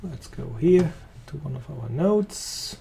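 A man with a German accent walking through a software demo, talking casually as he clicks along. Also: on the high side, slow pace, vocal fry, a little hiss or hum. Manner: relaxed, thinking aloud while clicking. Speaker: software trainer. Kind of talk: screen-recorded software demo.

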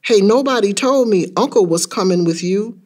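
Man acting an excitable, high-pitched little boy. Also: normal speed. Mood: excited, playful. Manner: childlike, insistent, repeated questions. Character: excitable, bewildered young boy, grieving his father. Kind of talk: dramatic spoken-word storytelling in rhyme.

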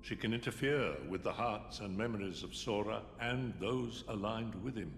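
An actor playing a sinister, pompous older man in a video game cutscene, speaking with slow deliberation. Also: fairly low pitch, lightly acted. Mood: smug, secretive. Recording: echoing hall reverb, faint noise.

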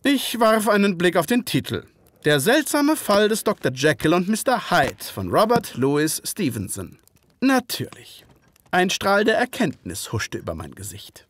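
An actor playing a gentleman physician and former soldier, narrating an audio drama in measured, literary fashion. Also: high-pitched, huge pitch swings, dry recording, heavily acted. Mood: reflective.

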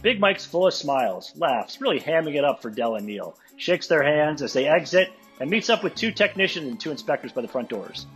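A man's voice: fairly high pitch, very animated, a little fast, few pauses, a bit bright, faint music behind. A man reading screen directions aloud at a live table read.

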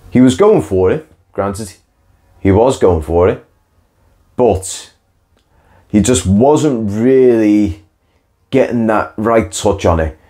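A man's voice: fairly low pitch, warm tone. A young man hosting a football reaction video, talking in an opinionated, conversational way with the odd sigh, tired after a long day, filmed indoors by a window. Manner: conversational, opinionated, occasional sighs and restarts. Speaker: football channel host. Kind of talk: post-match reaction monologue. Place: indoor room with window onto a marina.

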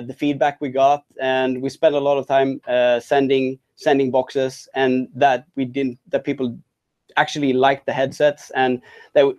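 Halting male tech talk presenter. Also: medium pitch, darkish tone. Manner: halting, many restarts and fillers. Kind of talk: conference talk.

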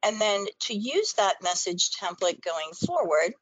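A woman's voice: mid-range voice, big pitch swings, measured pace, no echo, poor audio. A woman presenting a training webinar, explaining things step by step in an instructional screen-share style.

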